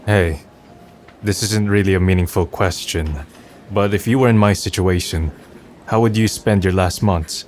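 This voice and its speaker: A man's voice: deep voice, normal speed, a little mellow, a little hiss or hum, lightly acted. A man playing a melancholy, resigned young man, subdued and introspective, in a manga dub. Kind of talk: first-person narration and dialogue in manga dub.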